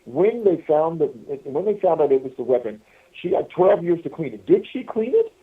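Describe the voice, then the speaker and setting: A man telling stories in a casual, rambling way, halting and repeating himself as he addresses his listeners over a telephone. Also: a little high, very animated, far from the mic. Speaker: podcast guest calling from prison. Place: prison phone call. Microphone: telephone handset. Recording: tinny, band-limited prison phone line.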